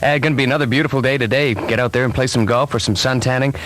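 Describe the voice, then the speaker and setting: A man's voice: medium pitch, steady tone, very fast, fairly bright tone. A man doing classic hits radio DJ patter. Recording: radio aircheck with music behind. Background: music.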